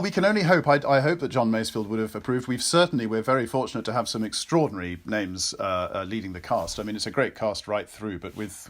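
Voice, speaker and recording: Effusive, articulate man, lowish voice. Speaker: interviewer and host, actor. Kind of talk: conversational interview hosting.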